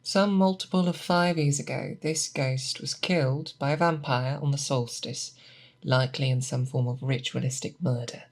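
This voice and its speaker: A woman playing a seasoned, patient monster hunter in an ASMR roleplay, speaking softly and thoughtfully to an apprentice, close to the mic. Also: very deep voice, steady tone. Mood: musing, grave. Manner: deliberate, hesitant, thoughtful explaining. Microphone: close binaural microphone.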